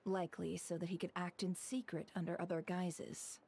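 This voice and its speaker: A woman playing a shrewd, composed strategist in a game, speaking in a deliberate, articulate, analytical manner. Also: fairly low pitch, flat delivery, darkish tone, slight background noise, natural voice. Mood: cautious, reasoning. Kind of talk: scripted game dialogue.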